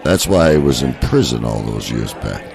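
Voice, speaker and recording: Crude, taunting menace for horror audio drama: very deep, gravelly drawl, crowd noise behind. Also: male voice, animated, moderate pace, exaggerated voice. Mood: taunting, menacing. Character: crude, menacing low-life man warning a kid. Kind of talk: horror radio drama acting.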